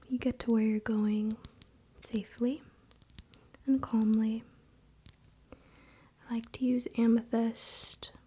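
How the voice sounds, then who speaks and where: A woman whispering breathily and softly in an unhurried, casual, chatty ASMR style, close to the microphone. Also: fairly high pitch, breathy edge. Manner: unhurried, soft-spoken, informal. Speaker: ASMR creator and crystal seller.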